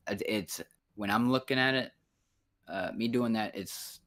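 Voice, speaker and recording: Man, thirties, very low pitch, bright tone, rambling, swears, casual voice chat. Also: a little slow. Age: 30s. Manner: rambling, frank, swears a lot.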